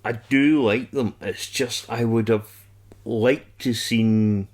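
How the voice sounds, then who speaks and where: A man chatting casually as a podcast co-host, blunt and halting in how he gives his opinions. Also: mid-range voice, steady tone, darkish tone. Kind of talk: casual review chat.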